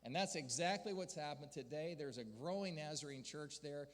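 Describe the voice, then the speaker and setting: Male church leader, fairly high voice, earnest storytelling sermon delivery, long hall reverb. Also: lively intonation, moderate pace. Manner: earnest storyteller, rising to emphatic peaks. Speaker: denominational church leader speaking to a district gathering. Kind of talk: sermon-style report with slides.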